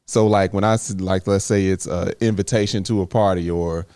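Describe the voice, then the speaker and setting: A man's voice: deep voice, fairly even intonation, fairly quick, darkish tone, dry recording, studio quality. A man co-hosting a podcast in casual, plainspoken chat, laid-back and teasing. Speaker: podcast co-host.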